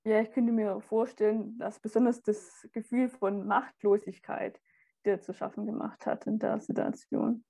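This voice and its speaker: A woman of twenty-six speaks in a mellow, warm voice. She talks casually and haltingly, with filler sounds between her words. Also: medium pitch, level tone, gravelly, slightly rough. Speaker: student podcast co-host. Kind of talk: casual chat.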